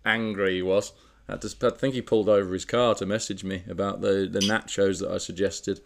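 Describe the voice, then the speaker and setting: Low-voiced man telling a story. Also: level tone, brisk, creaky. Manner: storytelling with laughs and fillers. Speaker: podcast co-host. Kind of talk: casual chat.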